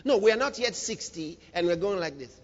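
This is a man preaching in a high, very animated voice that swings widely in pitch. He is dramatic and emphatic, repeating key phrases and putting questions to his congregation. The sound is slightly muffled, with the long reverb of a big church hall. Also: fairly quick. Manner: engages the congregation with questions and humour. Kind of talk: animated sermon with scripture reading.

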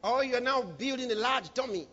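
A man's voice: extremely high pitch, lively intonation, normal speed, a bit bright, a little hiss or hum. A man preaching a dramatic, emphatic sermon to a congregation, reading scripture and driving phrases home through repetition. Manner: engages the congregation with questions and humour. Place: large reverberant church hall, preaching to a congregation. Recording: muffled, hall with long reverb.

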